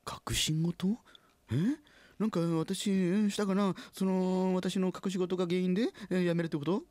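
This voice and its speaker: A man's voice: sits high, fairly even intonation, a little slow, darkish tone, no room sound, pitched above the performer's own voice. A man playing a rambling, jovial middle-aged small-factory president who loves his own puns, in a comic radio drama. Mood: flustered.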